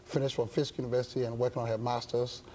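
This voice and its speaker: A middle-aged man with a Ghanaian accent, speaking as an interview guest in measured, hesitant phrases. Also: medium pitch, little pitch movement, warm tone, a little hiss or hum, rough recording. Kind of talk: studio interview, measured explanation. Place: indoor television studio set. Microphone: lapel mic.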